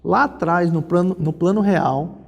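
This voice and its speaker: A man's voice: high voice, a little slow, gravelly. A man in his forties with a Brazilian accent, explaining things conversationally as an interview guest and often checking for agreement. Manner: explanatory, often seeks agreement with tag questions. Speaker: interview guest, social security lawyer. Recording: reverberant hall-like room.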